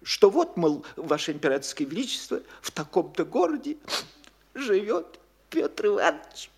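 An actor playing a fussy, self-important small-town landowner in a radio play, fawning and excitable, tripping over his words. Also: sits high, normal speed, breathy edge, exaggerated voice. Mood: fawning, eager. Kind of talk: classic radio theatre acting.

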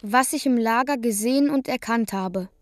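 A woman's voice: fairly high pitch, moderate pace, a little hiss or hum, natural voice. A woman reciting scripted first-person literary prose as a narrator in a radio play.

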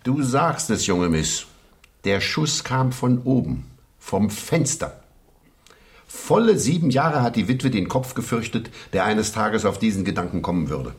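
An actor playing a shrewd, confident criminal investigator in a radio crime drama, with an assertive, deliberate manner. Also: male voice, fairly low pitch, very animated. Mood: impressed, certain.

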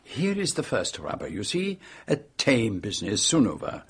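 An actor playing a fastidious, perceptive Belgian detective in a radio drama, speaking precisely and courteously with a French-speaking Belgian accent. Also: male voice, on the low side, wildly swooping pitch, fairly bright tone, husky, heavily acted. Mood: analytical, intrigued. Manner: precise, explanatory, formally courteous.

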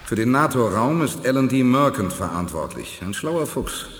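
An actor playing a calm, determined space commander with dry humour in a 1980s German radio play. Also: male voice, mid-range voice, moderate pace, natural voice. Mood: serious, businesslike. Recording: noisy 1980s audio drama recording.

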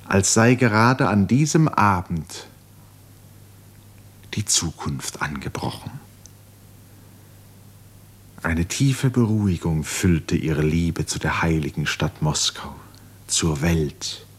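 Male narrator, low voice, unhurried, contemplative literary storytelling for radio drama. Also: expressive, breathy edge. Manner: contemplative, measured, phrases falling to a hush.

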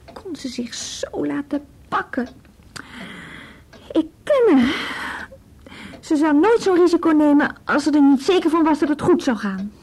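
A woman's voice: sits high, lively intonation, measured pace, darkish tone. An actress playing a troubled young woman in a radio drama, wry and anxious, talking hesitantly. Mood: resigned. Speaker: actor in a radio play. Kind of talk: naturalistic radio drama dialogue.

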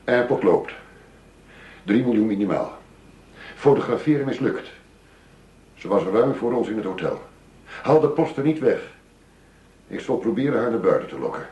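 An actor playing a shrewd, businesslike undercover negotiator posing as a money dealer in a radio drama. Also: male voice, on the low side, animated, darkish tone, husky, muffled recording. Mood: brisk, clipped. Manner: measured, businesslike, formal.

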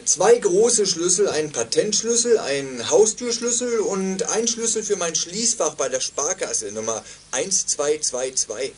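Ein Schauspieler spielt in einem Kinderhörspiel einen zwielichtigen Kleinganoven, der sich zögernd und höflich gibt. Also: male voice, high-pitched, lively intonation, a little slow, few pauses, breathy edge, exaggerated voice. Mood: guardedly polite. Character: shady petty crook feigning politeness on telephone. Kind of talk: German children's radio play acting.

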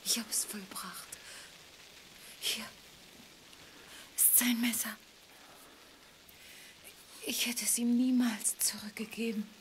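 A woman's voice: on the high side, steady tone, slow pace, pauses often, slight background noise. An actress playing a devoted, passionate young woman in a radio play, weak and exhausted, speaking breathily and intimately. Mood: relieved, weak.

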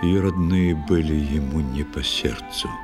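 A man's voice: rumbling low, measured pace, over music. A man narrating a poetic tale for children as theatrical, scripted verse recitation.

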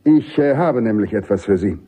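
Army general, male, middle-aged: mellow, slightly rough voice, jovial authority, emphatic radio-play delivery. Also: fairly low pitch, expressive, moderate pace. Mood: persuasive, brisk. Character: commanding American army general, genial but insistent. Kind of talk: German radio drama acting.

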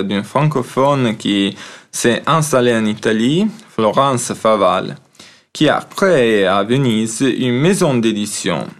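Man, radio host, slow deliberate delivery, formal. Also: lowish voice. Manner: measured, formal introductions. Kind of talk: radio presenting.

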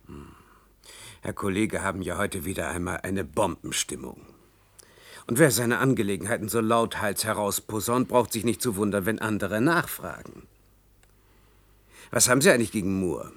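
An actor playing a principled, sardonic university colleague in a German radio play, dry, ironic and reproachful. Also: male voice, on the low side, normal speed, long pauses, no echo.

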